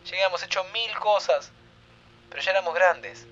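An actor with a Rioplatense accent playing a warm-hearted young time traveler who is easily flustered and turns tender. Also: male voice, sits high, a little fast, muffled recording, slight background noise. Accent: Rioplatense Spanish. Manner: flustered pleading, then tender and reflective. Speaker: lead character.

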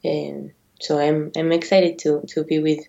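A woman's voice: low voice, flat delivery, unhurried, gravelly, warm tone. A young woman from Argentina raised in Mexico, a native Spanish speaker, speaking English in an interview with many fillers and restarts. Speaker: guest. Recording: dull lo-fi audio.

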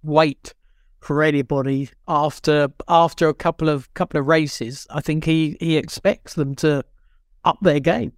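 Man, slightly high voice, chatting. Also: fairly even intonation, unhurried, dry recording, clean studio sound. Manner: emphatic, stumbling restarts. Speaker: podcast co-host. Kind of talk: casual chat.

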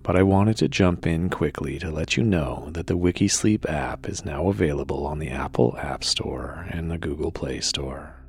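A man hosting a sleep podcast, speaking softly with some whispered words. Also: extremely low pitch, moderate pace, few pauses, husky. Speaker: podcast host. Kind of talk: soft sleep podcast announcement.

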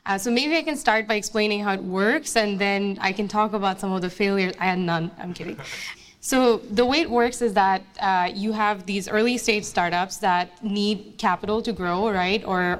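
A woman's voice: mid-range voice, steady tone, moderate pace. A young woman from Bangladesh speaking as a guest in an onstage interview, talking casually with many fillers and restarts. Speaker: guest speaker, investment analyst.

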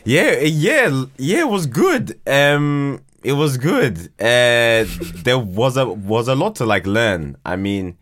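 A man's voice: mid-range voice, big pitch swings, slow, few pauses, a bit bright. A man from Ghana chatting casually as a podcast guest, modest and hesitant, often repeating his words. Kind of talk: casual interview chat.

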